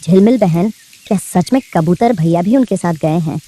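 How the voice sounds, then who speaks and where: A woman's voice: fairly low pitch, animated, moderate pace, lightly acted. Anxious mother sparrow voiced by a woman, rainy. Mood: worried. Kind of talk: Hindi bird cartoon voice acting. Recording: noisy, rain and thunder behind.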